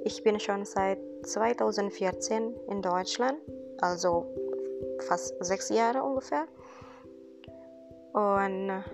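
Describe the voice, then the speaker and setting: A young woman from Indonesia speaking German with an Indonesian accent, giving an earnest, encouraging podcast monologue in halting non-native phrasing. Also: medium pitch. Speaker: podcast host, student. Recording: music bed behind voice.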